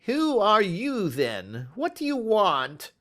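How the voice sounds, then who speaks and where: A man's voice: wildly swooping pitch, unhurried, creaky, exaggerated voice. A man reading aloud and voicing a nervous, frightened little man with a quavering, whining voice. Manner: high quavering whine.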